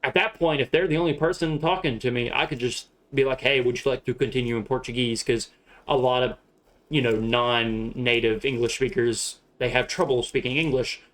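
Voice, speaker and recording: A man's voice: medium pitch, steady tone, moderate pace. Laid-back Southern US man streaming. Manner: laughs a lot, rambling and loose, swears casually. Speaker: streamer flying a shared-cockpit sim flight. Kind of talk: casual live-stream chat.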